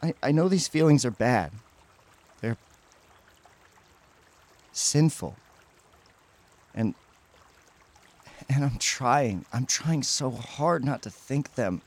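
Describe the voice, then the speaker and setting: A man's voice: mid-range voice, animated, darkish tone. An actor playing an anguished, devout teenage boy in an audio drama, full of self-loathing and stammering as he speaks. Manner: halting, stammering. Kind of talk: emotional audio drama acting.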